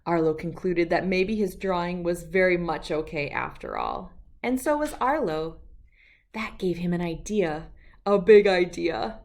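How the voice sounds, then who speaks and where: Very animated, slow-paced woman storyteller. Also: fairly low pitch. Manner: animated, deliberate, emphatic phrasing. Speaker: children's book read-aloud host. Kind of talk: expressive storybook narration.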